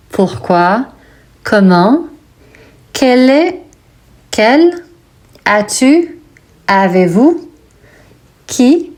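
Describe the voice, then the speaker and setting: A woman who teaches French and wrote a textbook, reading vocabulary and example sentences slowly for a pronunciation lesson. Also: medium pitch, a little mellow. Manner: slow, deliberate word-by-word reading.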